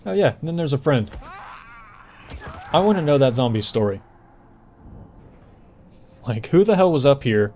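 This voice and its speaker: A man's voice: medium pitch, animated, moderate pace, pauses often, warm tone. A man doing casual, conversational gameplay commentary, explaining things as he plays, over game ambience. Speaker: gameplay walkthrough host. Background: video game ambience.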